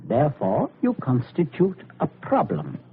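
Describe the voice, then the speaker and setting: A man's voice: fairly high pitch, huge pitch swings, measured pace, exaggerated voice. An actor playing a cold, domineering middle-aged father in a radio thriller, precise, commanding and menacing in his speech. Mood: cold, measured. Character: cold, domineering father calmly arranging a murder cover-up. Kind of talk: old-time radio thriller acting. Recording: narrow, hissy old radio recording.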